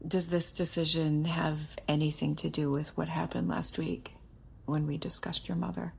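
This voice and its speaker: An actress playing a seasoned, unyielding female therapist in an audio drama, husky and gravelly, with measured, pointed questions. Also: low-pitched, level tone, darkish tone, full character voice. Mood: probing, firm.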